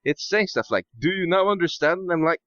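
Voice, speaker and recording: A man chatting casually as a podcast guest, hesitant and halting, swearing offhandedly, on dull muffled audio. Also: sits high, animated, a bit bright, dry recording. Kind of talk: casual chat.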